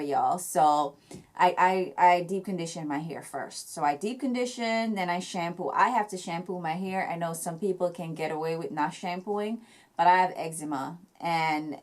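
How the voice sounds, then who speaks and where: A young woman with a Jamaican accent, chatting casually to camera about her hair care, with lots of fillers and restarts. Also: fairly low pitch. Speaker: hair vlogger.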